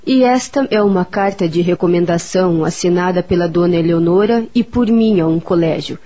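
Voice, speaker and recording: A woman's voice: fairly low pitch, moderate pace, warm tone, close to an everyday voice. An actress with a Brazilian accent playing a formal, fair-minded woman doctor in a radio soap opera. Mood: businesslike. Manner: formal, businesslike.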